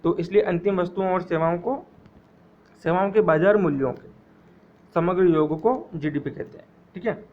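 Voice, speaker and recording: Man teaching economics, tinny sound. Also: sits high. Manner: repeats key phrases slowly for students to memorize. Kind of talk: exam-prep lecture.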